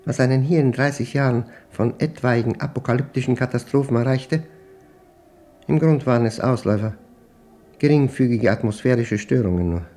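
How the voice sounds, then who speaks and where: A man acting a brooding mathematician in a German radio play, reading his diary in terse, deliberate, measured fragments. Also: mid-range voice, dark tone, natural voice. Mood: brooding, reflective.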